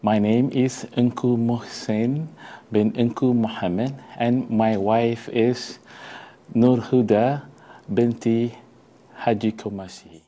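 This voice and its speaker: Malaysian-born guy, middle-aged, talks slow and halting, dark mellow tone. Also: medium pitch, steady tone. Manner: halting, searching for words. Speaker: tour participant. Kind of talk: testimonial interview. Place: indoor meeting room.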